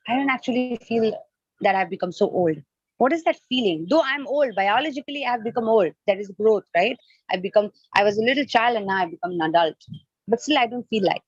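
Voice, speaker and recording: A woman's voice: mid-range voice, breathy edge, no room sound. A woman whose native language is Nepali gives an interactive devotional lecture as a spiritual teacher, often posing questions and rephrasing her points. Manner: questioning, explains by rephrasing and repeating. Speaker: spiritual teacher leading an online class. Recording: dull lo-fi online call audio.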